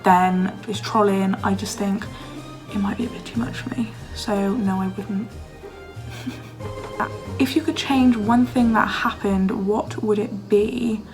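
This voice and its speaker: A young woman from Wales in her early twenties, chatting casually through viewer questions, her voice a little hoarse and croaky. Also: mid-range voice, fairly even intonation, measured pace, over music, poor audio. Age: young adult. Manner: chatty, lots of "like", laughs often. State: slightly hoarse, losing her voice. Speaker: vlogger. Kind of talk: casual Q&A chat. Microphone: phone camera.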